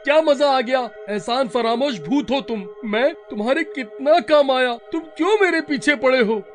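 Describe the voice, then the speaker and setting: A man playing a kind-hearted but jittery, cheeky village boy in an animated story, excitable and whiny. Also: very high-pitched, normal speed, faint music behind. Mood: indignant. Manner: excitable, whiny, stammering when scared.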